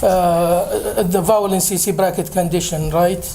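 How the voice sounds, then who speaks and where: A man presenting at a conference, answering audience questions hesitantly with many filler pauses. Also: high-pitched, steady tone, creaky, warm tone, a little hiss or hum. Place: conference room.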